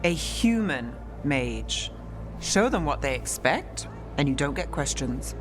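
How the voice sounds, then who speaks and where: A woman playing a haughty, sharp-tongued human mage in a fantasy game, cool and imperious. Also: deep voice, big pitch swings, slow, over music, full character voice. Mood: matter-of-fact.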